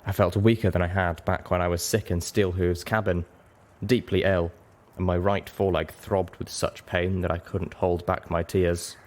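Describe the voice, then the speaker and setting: A man reading a story aloud as narrator in an expressive audiobook style. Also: deep voice, moderate pace.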